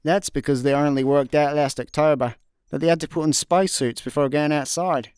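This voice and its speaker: A man playing a hapless, sardonic space layabout, deadpan and bemused, in a comic sci-fi radio play. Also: fairly high pitch, brisk, smooth, no echo, studio quality, close to an everyday voice.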